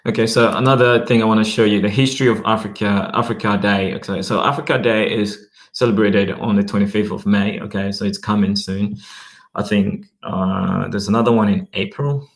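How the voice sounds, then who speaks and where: A man talking casually to his viewers, rambling and often checking in with "okay" while reading web pages aloud slowly. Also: on the low side, steady tone. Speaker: vlogger. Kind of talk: casual talk to camera.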